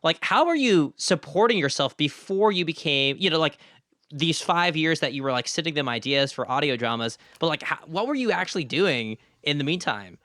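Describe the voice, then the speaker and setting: Male podcast host, bright forward tone, conversational and encouraging, very dry recording. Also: fairly high pitch, animated, moderate pace, dry recording. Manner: encouraging, affirming interjections. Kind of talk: conversational interview.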